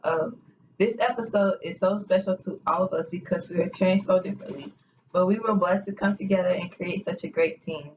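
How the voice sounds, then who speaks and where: A woman's voice: fairly low pitch, fairly quick, a little mellow, close to an everyday voice. A young woman playing a sincere investigator guest in a scripted amateur interview. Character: sincere female crime scene investigator guest. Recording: muffled room recording with faint hiss.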